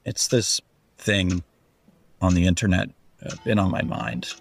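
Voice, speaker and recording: An actor playing a depressed, evasive young man with a gravelly, husky voice and hesitant delivery in an audio drama. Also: low-pitched, fairly even intonation, heavily acted. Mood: withdrawn, uneasy. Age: young adult. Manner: hesitant, halting, mumbled.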